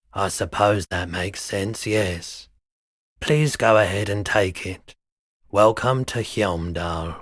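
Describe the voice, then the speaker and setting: An actor playing a weary, mild-mannered pawnshop owner no longer young in a video game, speaking in an unhurried, low-key, dryly polite way. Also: male voice, a bit bright, dry recording, studio quality. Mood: dry, calm. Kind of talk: video game dialogue acting.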